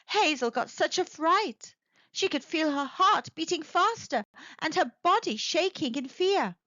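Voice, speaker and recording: A woman storyteller reading a children's picture book aloud with expressive narration. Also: very high voice, measured pace, no echo, highs cut off.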